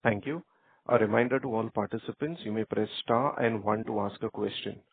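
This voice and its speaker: A man's voice: on the low side, a little fast, breathy edge. A man working as conference operator, reading formal scripted announcements. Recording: muffled narrowband phone line.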